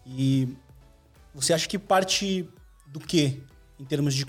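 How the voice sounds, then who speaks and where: A man's voice: a little high, takes it slowly, slightly rough, faint music behind. A man hosting a podcast, asking long, rambling questions with many restarts. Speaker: podcast host. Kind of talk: casual podcast interview.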